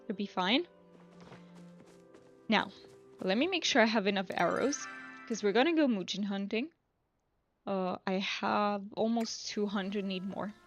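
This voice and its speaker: Woman streaming a game, chill rambly chat, thinks out loud, medium pitch, bit of music behind her. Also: unhurried, long pauses, lo-fi sound. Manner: relaxed, rambling, thinking aloud. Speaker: live streamer. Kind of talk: casual chat while playing a video game.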